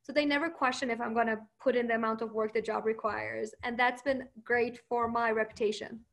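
A woman with a high voice, answering interview questions with confidence. Her first language is Persian, and she often laughs between points. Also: fairly even intonation, brisk, gravelly, fairly bright tone.

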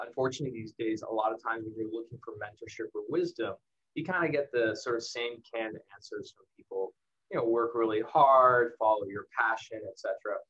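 An American man with a mid-pitched voice speaks as a guest on an online talk. He is candid and conversational, pausing for emphasis as he motivates young professionals. The audio is a little dull and lo-fi. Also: fairly bright tone. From El Paso, Texas, United States. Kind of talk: casual motivational talk to students. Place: indoors in the evening, online livestream.

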